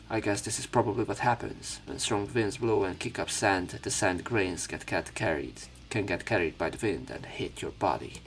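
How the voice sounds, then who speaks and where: Low-voiced man reading a game story aloud. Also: vocal fry, fairly bright tone. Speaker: let's play narrator reading a visual novel aloud. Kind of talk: read-aloud narration with casual commentary. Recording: game music behind.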